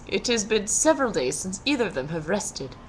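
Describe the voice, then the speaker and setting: A woman's voice: medium pitch, very animated, fairly quick, slight background noise, low-quality recording. A woman storyteller reading a fairy tale aloud in a playful, theatrical way, with casual humor.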